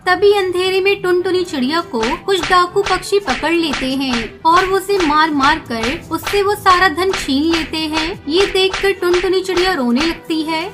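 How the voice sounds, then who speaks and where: Very high-pitched female storyteller. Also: normal speed, hardly any pauses, over music. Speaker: narrator. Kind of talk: scripted children's story narration.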